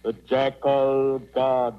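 An actor playing a menacing jackal god, guardian of the dead, intoning solemnly in 1940s radio style. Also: male voice, mid-range voice, close to an everyday voice. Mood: ominous. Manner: very slow, solemn, monotone intoning. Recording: muffled phone-like old radio recording.

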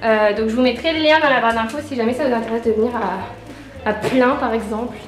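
A woman's voice: a little high, fairly quick. A woman in her twenties giving a casual, enthusiastic holiday vlog tour on a handheld camera in a villa. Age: 20s. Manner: enthusiastic, exclamatory. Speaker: vlogger. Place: holiday villa, rooms and terrace. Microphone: handheld camera at arm's length. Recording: echoey rooms, music over the voice.

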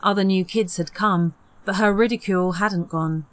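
A woman's voice: medium pitch, steady tone, normal speed, no room sound. A woman narrating a horror audiobook in a scripted storytelling style.

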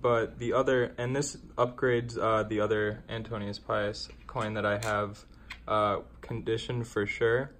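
A young man chatting casually as a coin-collecting vlogger, relaxed and rambling with filler words, filmed on a phone. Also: on the low side, unhurried, slight background noise. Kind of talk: casual vlog chat. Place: car, then indoors at a desk.

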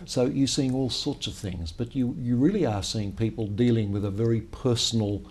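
Businessman, measured and dark-toned. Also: mid-range voice, slightly rough. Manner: measured, storytelling, frequent "well, look" openers. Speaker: company founder and chief executive. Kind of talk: business interview. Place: high-rise office meeting room with city view. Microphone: lapel mic.

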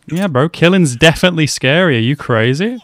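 A man's voice: on the high side, expressive, brisk, a bit bright, dry recording. A young man casually reacting to an anime episode, chatty and swearing a lot, calling the characters bro. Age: young adult. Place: small room at home. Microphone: headset mic.

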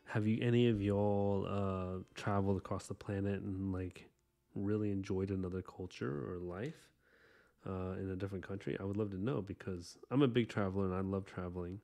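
A man's voice: deep voice, moderate pace, gravelly. A man who grew up speaking Korean, chatting loosely with his live chat at an art desk at home while he paints, full of fillers and asides. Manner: relaxed, rambling, many fillers. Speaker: artist streamer.

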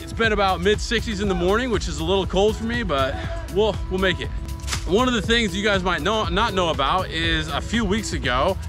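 A man in his forties giving a casual, upbeat video update outdoors by a cornfield, with filler words and music behind. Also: sits high. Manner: upbeat, chatty, frequent fillers. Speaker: farm nonprofit founder.

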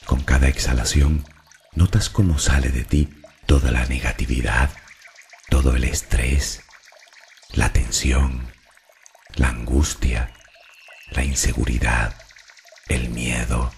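A man from narrating a guided meditation in a slow, calm, soothing and deliberate way. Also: rumbling low, gravelly, faint music behind.

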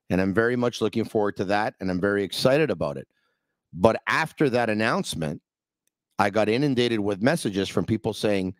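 A man's voice: fairly low pitch, no echo. A middle-aged man hosting his podcast from a small studio, talking earnestly and upbeat to the camera into a broadcast mic. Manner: speaks English and French, earnest and upbeat. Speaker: podcast host. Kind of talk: casual talk to camera. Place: studio with brick wall, indoors. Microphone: broadcast mic on arm.

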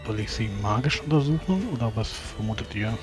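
A devout and solemn adventurer acted by a man in a tabletop game. The voice is on the low side and formal, with music clearly heard behind it. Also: lively intonation, fairly quick, close to an everyday voice. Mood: mildly puzzled.

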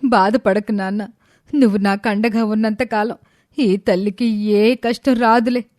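A woman's voice: medium pitch, steady tone, measured pace, darkish tone, dry recording, clean studio sound, lightly acted. A woman voicing an elderly widowed mother, dignified and hurt, speaking with emotional, emphatic reproach. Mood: consoling. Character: wounded, dignified elderly widowed mother rebuking her sons.

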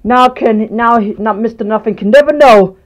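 A woman's voice: a little high, moderate pace, natural voice. A woman voicing a jealous, scheming unicorn superfan, melodramatic and muttering, in a homemade comedy skit. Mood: panicked scheming.

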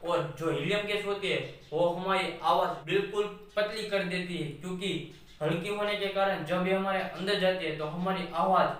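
A man's voice: sits high, steady tone, normal speed, a bit bright, distant mic. Young man, very echoey room. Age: young adult. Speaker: video host. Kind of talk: casual presenting to camera. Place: small bare indoor room. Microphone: camera mic a few steps away.

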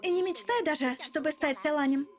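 A woman's voice: high-pitched, fairly quick, lightly acted. A woman voicing a haughty yet friendly teenage martial-arts girl in an animation dub. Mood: scornful. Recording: faint music behind the voice.

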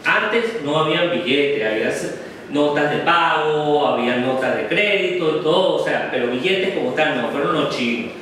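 A man's voice: a little high, expressive, a bit bright. A middle-aged man gives a slide presentation in a classroom, talking unhurriedly and often checking in with "ok?". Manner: unhurried, many "ok?" checks with the audience. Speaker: guest lecturer. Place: darkened classroom with projector screen. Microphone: far camera. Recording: very echoey room from far off, noisy.

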